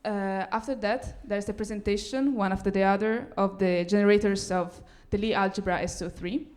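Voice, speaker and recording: Female composer, mid-range voice, giving a live lecture-recital talk in halting, piecemeal phrases. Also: mid-range voice, fairly even intonation, a little mellow. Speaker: composer presenting own work.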